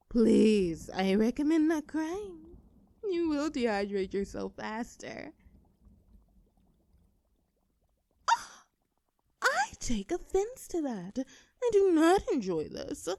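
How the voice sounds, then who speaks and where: A woman's voice: fairly high pitch, mellow, no room sound, full character voice. A woman playing a gleefully cruel female mad scientist in a horror audio roleplay, speaking theatrically in precise, formal phrases. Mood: coldly menacing.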